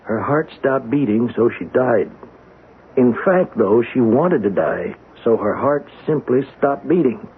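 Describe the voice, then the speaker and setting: A man's voice: medium pitch, lively intonation, unhurried, husky, heavily acted. An actor playing a grave, matter-of-fact male doctor, explaining emphatically in a 1970s radio drama. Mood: resigned, explanatory. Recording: muffled, noisy old radio broadcast.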